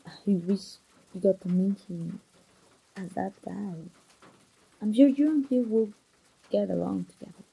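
A young woman voicing an engaged woman confiding in an old friend, in a soft, breathy, close ASMR roleplay style. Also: medium pitch, exaggerated voice, rough recording. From Mexico.

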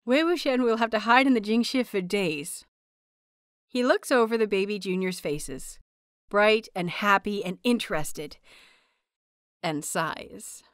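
A woman reading a scripted story aloud as an expressive audiobook narrator. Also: mid-range voice, dry recording, clean studio sound.

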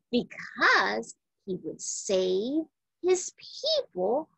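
A woman reads a Bible story aloud for children in a bright, slightly husky voice. Her phrasing is deliberate and emphatic, and the sound is close and dry. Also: a little high, dry recording, poor audio.

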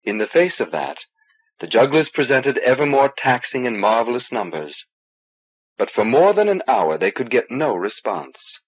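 A man with a husky voice narrating a fantasy novel in an expressive, measured storytelling delivery, on an old cassette transfer. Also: medium pitch, highs cut off. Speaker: audiobook reader.

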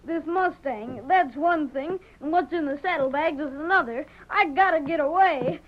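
An actor playing a frightened young frontier boy on the run in a 1930s radio drama, pleading and halting in rustic speech. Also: sits high, close to an everyday voice. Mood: anxious, pleading. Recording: crackly muffled 1930s radio recording.

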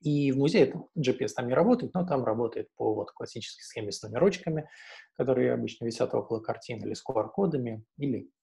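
A man's voice: mid-range voice, quick pace, gravelly, dark tone, husky. A man in his thirties giving an explanatory online presentation as a webinar presenter, speaking through an earphone headset on a video call. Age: 30s. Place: small indoor room, on a video call. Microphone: wired earphone headset on a video call.

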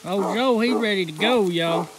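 A man's voice: high-pitched, moderate pace. A man on a night hunt outdoors, chatting in an easygoing, joking way. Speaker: hunting companion. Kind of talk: casual chat. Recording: outdoor phone recording with rain noise.

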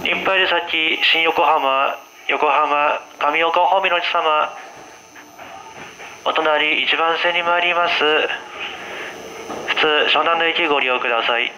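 Guy train driver on the pa, slightly high voice, clipped routine announcement, noisy rumbling subway. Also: slow, pauses often. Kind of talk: live routine transit announcement. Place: over the PA inside a subway car. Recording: train PA amid loud rumble.

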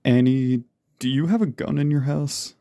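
A man's voice: medium pitch, moderate pace, warm tone, no room sound, studio quality, natural voice. A man playing a worried young man trying to reassure his friend, earnest and subdued, in a horror story. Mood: defensive, uneasy. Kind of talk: dramatised horror story reading. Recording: eerie music behind. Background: ominous background music.

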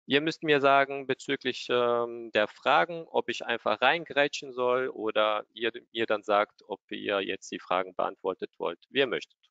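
Guy hosting an online webinar, gravelly voice, dry muffled call sound. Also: mid-range voice, normal speed, a bit bright, dry recording. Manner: friendly, formal welcome with fillers. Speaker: webinar host. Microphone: video call. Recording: dull lo-fi video call audio.

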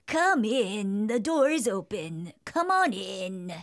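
Frail, slow-speaking storybook grandmother. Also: mid-range voice, very animated. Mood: welcoming. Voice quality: faint, quavering. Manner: gentle, drawn-out, welcoming. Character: frail, kindly, trusting old grandmother. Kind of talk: children's storybook audio acting.

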